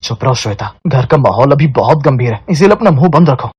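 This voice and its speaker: A man's voice: mid-range voice, lively intonation, brisk, a little mellow, breathy edge, no room sound, lo-fi sound. A man playing a sensible Indian elder brother, admonishing his family in a cartoon story. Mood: stern.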